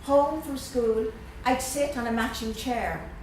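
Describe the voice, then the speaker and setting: A middle-aged Irish woman from Dublin reading her poems slowly and with pauses at a literary evening, chatting hesitantly between them. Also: fairly high pitch, darkish tone, a little hiss or hum. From Dublin, Ireland. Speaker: guest poet. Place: event room at a literary gathering. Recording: very echoey room, voice far off.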